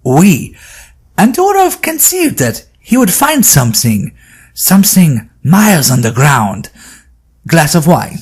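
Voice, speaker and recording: Casting: male actor as courteous, anxious French scholar, French accent, husky tone, expressive pitch swings. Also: takes it slowly, exaggerated voice. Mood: concerned. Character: anxious French male scholar, old friend welcoming guests. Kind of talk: audio drama acting.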